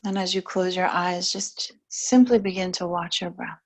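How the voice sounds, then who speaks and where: A woman leading guided relaxation and gentle yoga, speaking in short, soothing, unhurried phrases with long pauses between them. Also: lowish voice. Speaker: yoga nidra and stretch instructor.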